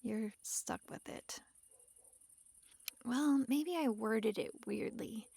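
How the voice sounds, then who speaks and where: Woman as young kitsune girl for fantasy ASMR roleplay: coy, doting, coaxing, slow delivery, very dry recording. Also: on the high side, dry recording, heavily acted. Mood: soft explaining. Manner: soft, breathy sighs and giggles, coaxing, hesitant asides. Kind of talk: intimate ASMR roleplay acting.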